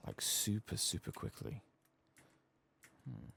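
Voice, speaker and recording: A soft-spoken man murmuring ASMR commentary as he plays a video game. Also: takes it slowly. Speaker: gaming streamer. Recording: faint background noise.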